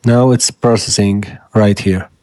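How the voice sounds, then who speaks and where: A man whose first language is Arabic talks slowly through a screen-recorded tutorial. His voice is mellow and soft-edged. He explains one step at a time while he clicks. The sound is dry and close, with no room around it. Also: fairly low pitch, fairly even intonation, smooth, no echo. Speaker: tutorial host.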